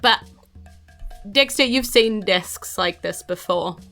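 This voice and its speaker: A woman with a New Zealand accent guides a tabletop game. She describes scenes loosely and conversationally, in a mid-pitched voice, with faint music underneath. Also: takes it slowly, vocal fry. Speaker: game master. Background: soft background music.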